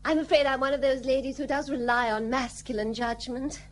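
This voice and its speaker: A woman's voice: high-pitched, steady tone, a little fast, slight background noise, close to an everyday voice. An actress playing a flirtatious, genteel colonial British lady who wheedles and feigns helplessness in a period radio play. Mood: coquettish.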